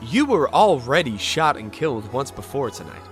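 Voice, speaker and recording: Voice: mid-range voice, sing-song, moderate pace, soft background music, natural voice. An actor playing a bewildered, polite amnesiac spirit with dry wit in a video game fan dub, hesitant and given to exaggerated surprise. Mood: musing narration. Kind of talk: dramatic fan dub with inner monologue.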